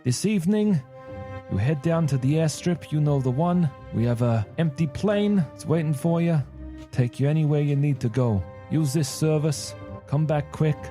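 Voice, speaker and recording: A man puts on a doting crime-boss father for a tabletop story. He speaks higher than usual in measured, courteous phrases. Orders come wrapped in affection. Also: dark tone, soft background music, close to an everyday voice. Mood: fond, commanding. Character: doting, smooth-talking crime-boss father reading his letter.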